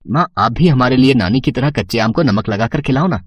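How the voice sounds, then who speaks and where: A woman's voice: a bass voice, expressive, a little fast, breathy edge, no echo, voice pushed down, exaggerated voice. A woman voicing an eager, pleading child in a children's moral story.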